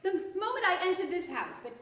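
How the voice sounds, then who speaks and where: A woman's voice: very high-pitched, sing-song, brisk, a bit bright, heavily acted. A woman playing a fretful, theatrical lady who believes she is psychic, in a staged radio play. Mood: ominous. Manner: theatrical, ominous. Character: fretful, melodramatic woman convinced of her psychic powers. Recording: echoey hall, distant stage mics.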